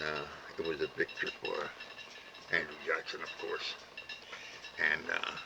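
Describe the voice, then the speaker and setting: An older man with a Cajun accent speaks in a very deep, gravelly voice. He talks slowly and stops often to say "uh" and repeat words. The recording sounds dull and lo-fi, with a steady hiss underneath. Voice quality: gravelly, plain-spoken. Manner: halting, with many "uh" pauses and repeated words. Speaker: storyteller sharing heritage. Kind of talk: slow, rambling talk to camera.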